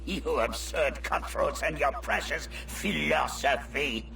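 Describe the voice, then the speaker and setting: Old villain for a game: sneering, high, swooping, creaky delivery, slightly distant. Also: male voice, expressive, takes it slowly, bright, forceful tone, slight background noise, heavily acted. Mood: contemptuous. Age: older. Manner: theatrical, contemptuous. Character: bitter, sneering old male slave trader. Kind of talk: dramatic video game cutscene acting.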